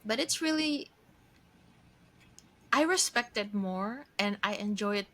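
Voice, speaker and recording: A woman's voice: mid-range voice, expressive, fairly bright tone. A woman in her early thirties from the Philippines who lives in Japan, co-hosting a casual chat with an old friend, laughing often and restarting sentences. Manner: laughs often, talks in quick bursts with restarts. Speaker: podcast co-host.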